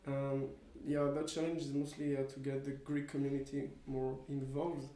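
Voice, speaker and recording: A man's voice: medium pitch, level tone, moderate pace, dark tone. A young man from France, a student volunteer giving casual, rambling, tentative answers in an interview. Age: young adult. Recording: roomy echo.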